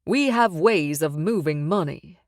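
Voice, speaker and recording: A woman's voice: low voice, lively intonation, unhurried, no room sound, clean studio sound. A woman voicing a smug, menacing male mob boss who talks with amused, sing-song menace.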